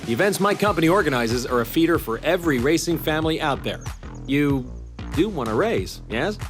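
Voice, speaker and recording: An actor playing a confident, slick race event organizer in a video game cutscene, smooth-talking and promotional. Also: male voice, a little high, animated, brisk, music in the background, close to an everyday voice. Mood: assured, persuasive.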